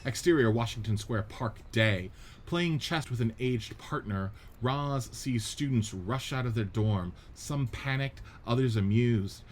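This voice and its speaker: A man narrating stage directions in a measured, matter-of-fact way during a radio play script reading. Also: mid-range voice, a little hiss or hum.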